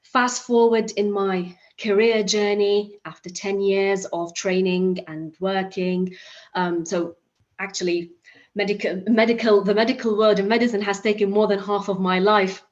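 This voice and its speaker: A woman's voice: medium pitch, steady tone, unhurried. Earnest woman on lo-fi call audio. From Iraq. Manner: earnest, with many restarts and fillers. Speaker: summit speaker. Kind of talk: motivational talk.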